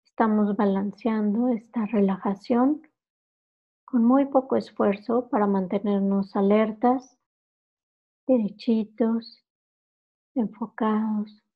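A woman leading a guided meditation, calmly giving instructions in short, unhurried phrases. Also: medium pitch, phone-quality sound.

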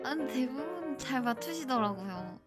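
Young woman, early twenties, high voice, bashful gamer chat, music behind. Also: animated, normal speed. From Ulsan, South Korea. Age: early 20s. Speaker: online game teammate. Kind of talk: casual chat during an online game.

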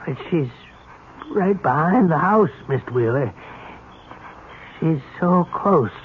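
A haunted, urgently pleading character in an old radio drama. He draws words out slowly in a fairly high voice. The recording is muffled and faintly noisy. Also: expressive. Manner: slow, urgent, drawn-out. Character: insistent, haunted man pleading about a buried woman. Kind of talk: 1970s radio drama acting.

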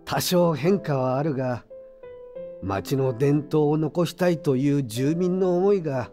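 An actor playing a gruff but kindly old craftsman over sixty in an audio drama, with old-fashioned, admonishing yet reassuring speech. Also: on the high side, a little slow, music in the background, natural voice. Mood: reminiscing. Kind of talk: Japanese audio drama acting.

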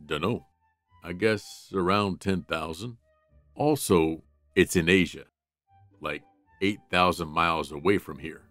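A man voicing a gruff, world-weary, protective big dog in a comic dub, with a dry, sarcastic, deadpan manner. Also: low-pitched, unhurried, no room sound, faint music behind. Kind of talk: animated comic dub acting.

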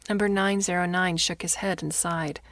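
Female fiction narrator, lowish voice, expressive, often breathy, dry studio-like sound. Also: normal speed, no room sound. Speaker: story narrator. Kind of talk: dramatic audiobook narration of fiction.

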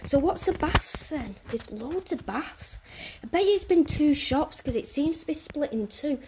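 A woman's voice: high voice, animated, unhurried, background noise. A woman whispering cautiously as she films her walk through a derelict building on a phone. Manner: hushed, cautious, often whispering. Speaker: urban explorer filming. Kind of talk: whispered exploration vlog. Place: derelict brick building, indoors. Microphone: handheld phone camera.

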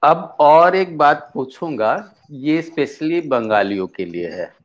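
Slow, halting middle-aged male interviewer. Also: on the high side, expressive. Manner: halting, frequent "haan" acknowledgments. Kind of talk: interview questions. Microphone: video call. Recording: dull lo-fi video call audio.

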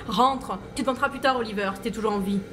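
A woman playing a bossy, urgent survivor on the run in a French audio serial, brisk and commanding. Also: on the high side, background noise, lightly acted. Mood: curt, impatient.

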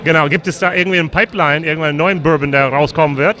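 An American-accented man in his forties, a native English speaker, hosts a casual interview. His voice is slightly higher than average. He is informal, encouraging and quick to joke, holding a handheld mic. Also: sharp tone, faint music behind. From United States. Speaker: interviewer, whisky video host. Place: whisky fair stand. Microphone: handheld microphone.

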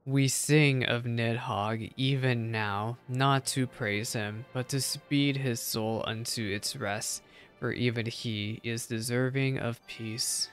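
A wise dragon from a fantasy game, voiced by a man, speaks with solemn gravity. The delivery is formal and measured, like an old recital, with music playing behind it. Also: mid-range voice, steady tone, natural voice. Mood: solemn reverence.

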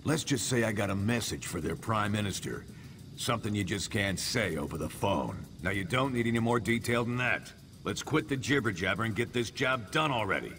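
An actor voicing a gruff military commander in a video game, blunt, sardonic and bossy. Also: male voice, lowish voice, expressive, a little fast, background noise. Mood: brisk command. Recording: music behind.

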